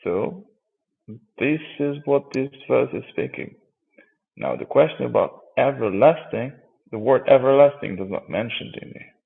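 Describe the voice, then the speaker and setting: A man's voice: mid-range voice, animated, a little slow, creaky, slightly rough. A man, a native Hebrew speaker, debating insistently and cutting in to correct his opponent. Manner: insistent, interrupts with repeated "wait". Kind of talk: heated debate. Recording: tinny phone-line audio.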